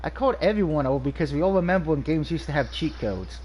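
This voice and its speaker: A man with a slightly high voice talks through a video game review in a loose, rambling way. He sounds nasal and stuffed up from allergies, pausing often on "um" and restarting his sentences. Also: lively intonation, darkish tone, slight background noise, rough recording. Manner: rambling, frequent ums and restarts. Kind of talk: casual game review over gameplay.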